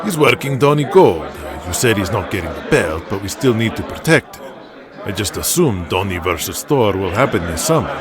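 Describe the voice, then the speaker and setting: A man's voice: lowish voice, big pitch swings, moderate pace, over music. Frank, emphatic Greek wrestling booker voiced by a man. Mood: frank, insistent. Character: confident, frank male Greek wrestling booker, loyal advisor. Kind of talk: audio drama acting.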